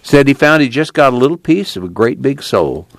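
A man voicing a weary young man in hiding, who speaks in a low, unhurried, folksy rural way. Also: animated, no echo, close to an everyday voice. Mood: reflective. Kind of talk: audiobook character reading.

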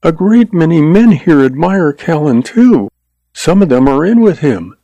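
A man's voice: on the high side, big pitch swings, unhurried, dark tone, dry recording, highs cut off, pitched above the performer's own voice. A man voicing an earnest, anxious young frontier woman in a western story, with a quivering, pleading delivery.

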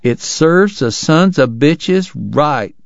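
A man's voice: a little high, animated, slow pace, gravelly, no echo, pitched above the performer's own voice, full character voice. Man doing a bitter grumbling bystander. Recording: dull lo-fi dry recording.

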